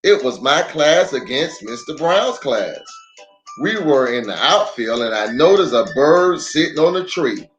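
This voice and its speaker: A man reading a children's story aloud in an expressive, dramatic way with deliberate pauses. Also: on the high side. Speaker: storyteller, pastor. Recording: roomy echo, faint music.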